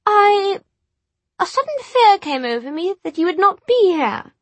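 A haughty young society girl for an audiobook. The voice is extremely high and swoops dramatically, breathy and affected, full of coquettish airs. It is recorded close and dry. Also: sing-song, a little slow, dry recording, full character voice. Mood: sweetly simpering. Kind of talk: audiobook character dialogue.

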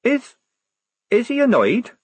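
A male narrator puts on a young woman soldier from a storybook. She is anxious, quick-witted and earnest. The voice is raised and lively, with a little huskiness. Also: breathy edge, no room sound. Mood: horrified. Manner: squeaky, quavering, earnest. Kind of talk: audiobook character acting.

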